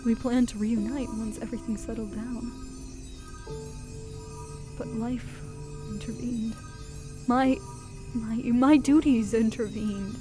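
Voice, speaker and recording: A woman playing a guilt-ridden pony queen in a radio drama, speaking in a halting, emotional way. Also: on the high side, steady tone, music in the background. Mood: regretful, sorrowful. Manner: halting, emotional, anguished delivery. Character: grieving, guilt-ridden pony queen, gentle elder royal sister. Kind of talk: dramatic radio play acting.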